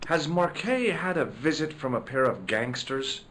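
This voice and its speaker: An actor voicing a persistent, dry-witted young investigator in a video game. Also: male voice, mid-range voice, lively intonation, a little slow, fairly bright tone, a little hiss or hum. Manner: dry, wry, matter-of-fact.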